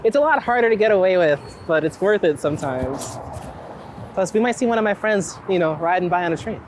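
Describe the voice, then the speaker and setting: A man's voice: high-pitched, animated, brisk. Rambling man chatting on a noisy street. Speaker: street photographer being interviewed. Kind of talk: casual conversation while out walking. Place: outdoors on a busy city street. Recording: outdoor city street noise, traffic.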